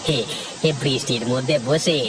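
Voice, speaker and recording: A man voicing a chatty, idle village friend in an animated fairy tale, folksy and exclamatory. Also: medium pitch, normal speed, noisy background, natural voice.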